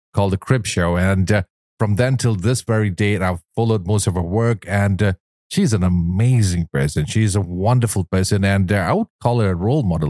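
A man with a fairly deep voice hosts a casual interview show. He is effusive and free with compliments, speaking conversationally. The sound is very dry, with no room on it. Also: darkish tone, no room sound. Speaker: talk show host.

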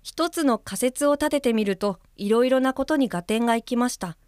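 An actress playing a polite, conscientious young female guide-helper in a radio drama, reading a letter aloud in a soft, measured voice. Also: on the high side, clean tone, dry recording, clean studio sound, close to an everyday voice. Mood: earnest. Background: music under the voice.

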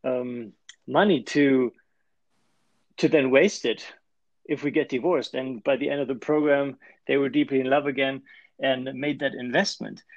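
A man's voice: medium pitch, steady tone, unhurried, dry recording, muffled recording. A man with a German accent, a coach talking as a guest in a podcast interview and explaining his methods with frequent restarts. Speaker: life and performance coach, guest.

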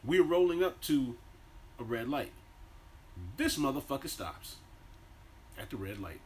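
A man's voice: very animated, a little slow, darkish tone, low-quality recording. An American man co-hosting a podcast, telling stories in a casual, slangy way with plenty of swearing. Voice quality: deep-voiced by own claim. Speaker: podcast co-host. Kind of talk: casual profane banter and animated storytelling. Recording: faint background noise.

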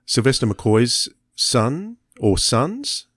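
A man's voice: medium pitch, big pitch swings, drawn-out words, a bit bright, husky, dry recording, clean studio sound. A man co-hosting a podcast, chatting casually. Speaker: podcast co-host.

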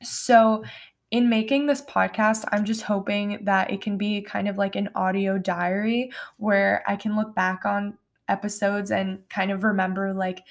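A woman's voice: mid-range voice, fairly even intonation, a little slow. A woman in her twenties from New York, hosting her own podcast late at night in a chatty, rambling way with many "like" fillers and no regional accent. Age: 20s. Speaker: podcast host. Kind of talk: casual solo podcast chat. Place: home at night.

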